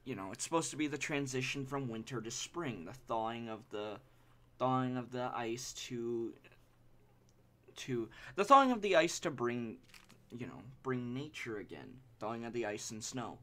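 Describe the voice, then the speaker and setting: A young man live-streaming his drawing session, sniffly from allergies and chatting in a rambling, halting, apologetic way. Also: medium pitch, lively intonation. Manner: rambling and halting, with frequent restarts; self-deprecating and apologetic. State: sniffly, stuffy nose from allergies. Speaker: art streamer. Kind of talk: casual live-stream chat while drawing. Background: soft background music.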